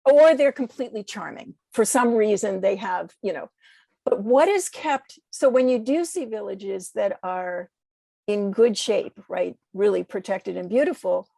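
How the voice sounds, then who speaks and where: An American woman in a conversational discussion, speaking haltingly as she searches for words and corrects herself. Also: mid-range voice, vocal fry, warm tone, no room sound. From United States. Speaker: podcast guest.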